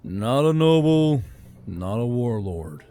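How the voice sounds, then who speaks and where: A man's voice: medium pitch, very animated, slow pace. A man voicing a wry, modest human adventurer in a fantasy audiobook, casual and questioning. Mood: chiding. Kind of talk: audiobook character dialogue. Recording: soft music bed behind.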